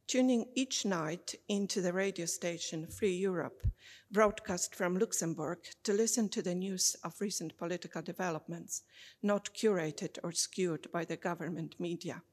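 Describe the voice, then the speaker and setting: A middle-aged woman reads from a prepared text in a measured, formal way, slowing carefully over names and dates. Her voice sits at an ordinary middle pitch for a woman. A long church reverb trails behind every phrase. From Poland. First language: Polish. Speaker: lay reader at a church service. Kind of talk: formal prepared reading to a congregation. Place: church sanctuary.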